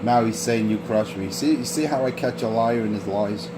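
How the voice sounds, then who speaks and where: A man's voice: on the low side, moderate pace. Mocking man on a noisy radio channel. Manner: mocking, boastful, repeats himself. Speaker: CB radio operator. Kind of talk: taunting banter over a CB radio.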